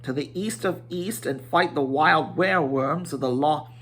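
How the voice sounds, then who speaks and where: A man's voice: fairly high pitch, normal speed, fairly bright tone, a little hiss or hum, lightly acted. A man voicing a small, earnest male hobbit in a fantasy storybook, insistent and putting on bravery. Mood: earnest, defiant.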